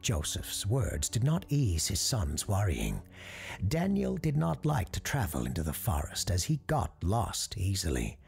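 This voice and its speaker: A man playing a genial parapsychologist radio host who tells Christmas ghost stories in a husky, creaky, measured, genteel voice. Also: low-pitched, huge pitch swings, darkish tone, heavily acted. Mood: storytelling. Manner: genteel, measured storyteller's delivery. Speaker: host and storyteller of an audio fiction show. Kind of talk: scripted storytelling, radio-show monologue.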